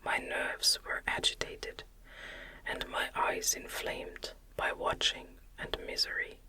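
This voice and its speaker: Whispered audiobook reading, breathy, soothing, very slow, crisp bright hiss, ASMR style. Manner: soothing, measured, deliberate phrasing. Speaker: audiobook reader. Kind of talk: ASMR whispered literary narration.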